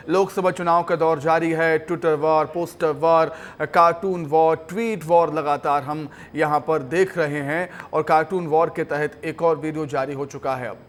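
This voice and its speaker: A man anchoring live television news in an emphatic way, often repeating phrases for stress. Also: on the high side.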